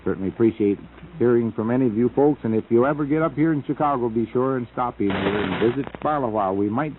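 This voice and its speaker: A man's voice: medium pitch, fast talker, phone-quality sound, a little hiss or hum. An American man hosting a casual early-morning radio show, chatting in a folksy, joking, rambling way on a vintage 1950s AM broadcast. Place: radio studio.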